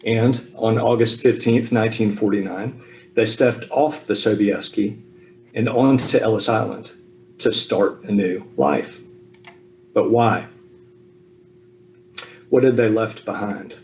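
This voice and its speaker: Man, 50s, from the US South, slightly low voice, easygoing talk with ums over a video call, faint hum behind. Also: slow pace, pauses often, low-quality recording. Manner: relaxed, with frequent ums and self-deprecating asides. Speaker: speaker at a Zoom talk. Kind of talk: personal family history talk.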